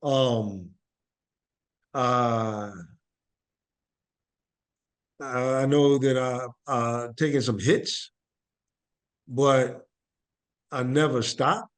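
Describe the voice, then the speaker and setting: A man's voice: medium pitch, steady tone, extremely slow, long pauses. A man giving reflective interview answers as a guest, speaking earnestly and motivationally, repeating phrases for emphasis. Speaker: podcast guest, actor and playwright.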